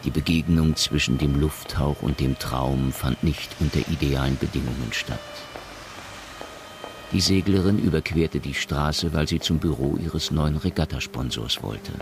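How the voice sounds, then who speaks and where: An actor as a solemn storyteller, narrating a science fiction radio play in a measured, literary way. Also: male voice, a bass voice. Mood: solemn narration. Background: music.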